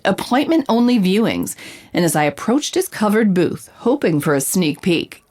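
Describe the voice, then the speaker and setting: A woman's voice: medium pitch, lightly acted. A woman playing a curious roving reporter, narrating events as a scripted, vivid audio drama report.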